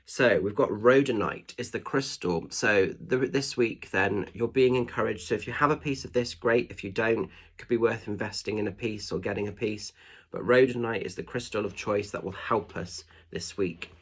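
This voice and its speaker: A man with a congested, nasal voice talks through a card reading in a rambling, apologetic way. His voice sits fairly low and sounds husky. He comes across as gently reassuring. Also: moderate pace. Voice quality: nasal, stuffed-up. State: congested with hay fever or a cold. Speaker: spiritual medium and tarot reader. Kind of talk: casual oracle card reading.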